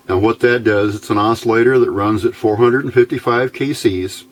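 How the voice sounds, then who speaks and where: Slow-talking, low-voiced man explaining electronics. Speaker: electronics hobbyist demonstrator. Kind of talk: informal hands-on technical explanation.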